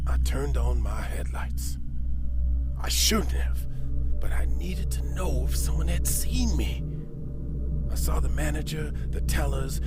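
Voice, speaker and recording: An actor playing a weary, middle-aged bank robber confessing in an audio drama, telling his story with dramatic pauses and hushed asides. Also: mid-range voice, lightly acted. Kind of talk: dramatic first-person audio drama narration. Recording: music under the voice.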